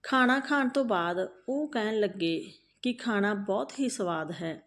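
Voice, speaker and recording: A woman narrating a moral folk tale as a storyteller, phrasing it deliberately with frequent pauses. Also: medium pitch, expressive, fairly bright tone.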